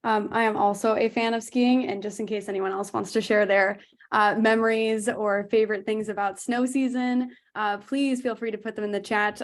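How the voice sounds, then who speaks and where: A young woman hosting a video-call webinar in a chatty way, with frequent fillers and laughs. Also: on the high side. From United States. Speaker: webinar host.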